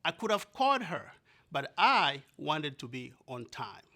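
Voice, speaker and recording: A man from Zambia telling a personal story to an audience, animated and conversational, often speaking to the listeners directly. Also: on the high side, unhurried, loud music behind the voice.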